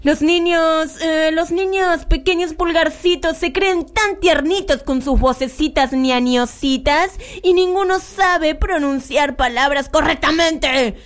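A wicked, self-absorbed spinster villainess from a comic radio play. The voice is very high and slow, savoring each word. The delivery is theatrical, gloating and sugary-mean. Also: female voice. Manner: theatrical, cackling, gloating, mock-sweet. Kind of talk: comic radio drama acting.

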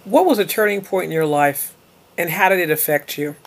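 Give me a man hosting a podcast interview with a slightly high-pitched voice. He keeps his questions brief and direct. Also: fairly quick, creaky. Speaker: podcast host.

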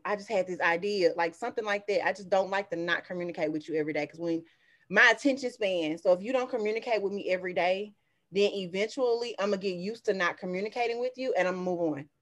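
An American woman co-hosting a podcast, chatting casually among friends with an emphatic, animated, slangy delivery. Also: medium pitch. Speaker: podcast co-host.

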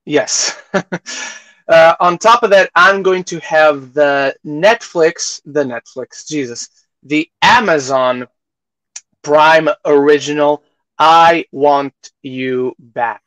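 A man hosting a podcast, chatting casually through film reviews, haltingly repeating phrases and acting out imagined reactions. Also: fairly high pitch, fairly bright tone, no echo, rough recording. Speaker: podcast host reviewing films.

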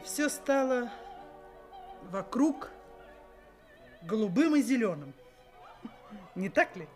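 Slow, very expressive, probing middle-aged mother. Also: fairly high pitch. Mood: coy, probing. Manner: theatrical, insinuating, deliberate. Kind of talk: theatrical radio play acting. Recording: 1980s radio play with faint music.

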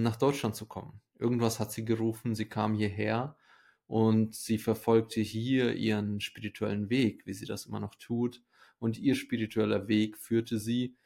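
A man's voice: fairly low pitch, creaky, a little mellow. A man from Ukraine hosting a podcast, speaking in an earnest, personal way to listeners and his guest. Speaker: podcast host. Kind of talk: conversational podcast introduction and interview.

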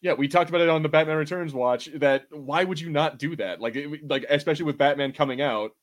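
A man's voice: on the high side, quick pace. Enthusiastic man chatting casually as podcast host. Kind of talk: casual watch-party commentary.

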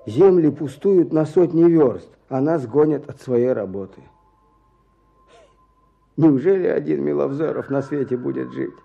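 An actor playing a weary, gentle but stubborn peasant fugitive in a Russian radio drama, with plain, earnest folksy speech. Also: male voice, medium pitch, measured pace, gravelly. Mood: bitter, questioning. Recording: dull, muffled radio play recording.

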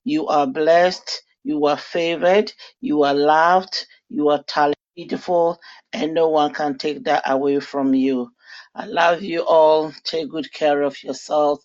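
A woman's voice: low voice, fairly even intonation, unhurried, darkish tone. A woman giving a fan message full of faith-filled affirmations. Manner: affirming, preacher-like blessing. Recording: dull lo-fi recording.

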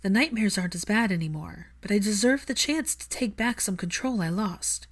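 A woman's voice: mid-range voice, normal speed, husky, pitched above the performer's own voice. A woman voicing a stubborn, affectionate young woman in an audiobook story, assertive and teasing. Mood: determined.

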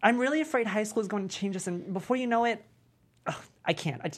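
A woman's voice: mid-range voice, fast talker, close to an everyday voice. A woman playing a dramatic, chubby fourteen-year-old boy who fancies himself a star, gushing in teen slang at a comedy script table read. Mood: dramatic, pleading.

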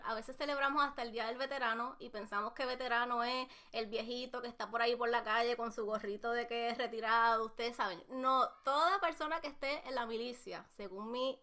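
A woman's voice: a little high, fairly even intonation, brisk, few pauses, sharp tone. A woman co-hosting a podcast, chatting and explaining things to listeners. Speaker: podcast co-host.

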